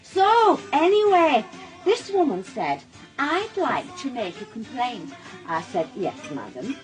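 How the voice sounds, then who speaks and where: A woman's voice: a little high, very animated, slow pace, faint music behind, poor audio. A woman playing a chatty, put-upon wife telling anecdotes in a radio drama, in a theatrical Northern English manner of speech. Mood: chatty complaining. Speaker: actor playing party guest in radio drama. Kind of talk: naturalistic radio drama acting.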